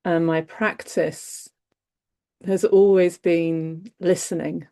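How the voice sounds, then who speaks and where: A woman with a croaky voice speaks slowly and gently, with a wry touch. Her tone is low and dark. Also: flat delivery. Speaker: participant. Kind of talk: online circle sharing.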